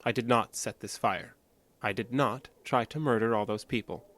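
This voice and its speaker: A male actor as a cold man with violence kept under a calm surface. He speaks at an average male pitch, precise and evasive, with little feeling. The style is audio drama. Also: normal speed, lightly acted. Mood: cold, defiant.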